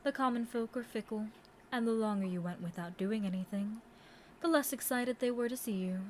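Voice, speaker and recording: A woman's voice: on the high side, fairly quick, a little mellow, slight background noise, close to an everyday voice. A woman playing a seductive, condescending immortal Demon Queen in a theatrical, taunting audio roleplay monologue. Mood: condescending, mock pity.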